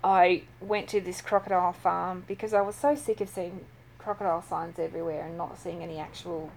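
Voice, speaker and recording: A woman's voice: fairly low pitch, fairly even intonation, fairly quick, gravelly, low-quality recording. A woman with an Australian accent, an author telling stories in a casual interview, chatty and full of ums. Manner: chatty storyteller, many fillers. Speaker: author and guest.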